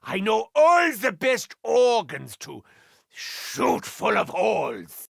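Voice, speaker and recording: A gleefully deranged German doctor, leaping all over his register and pitched a little high. He drags his words out slowly and with relish. The sound is close and dry, with no room at all. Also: wildly swooping pitch, vocal fry, fairly bright tone, dry recording, heavily acted. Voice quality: theatrical, swooping, mock-wounded. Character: manic unhinged German male doctor, vain and gleeful. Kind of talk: video game voice lines.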